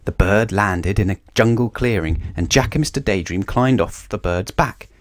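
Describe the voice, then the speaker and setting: A man reading a children's storybook aloud with expressive narration. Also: lowish voice, normal speed.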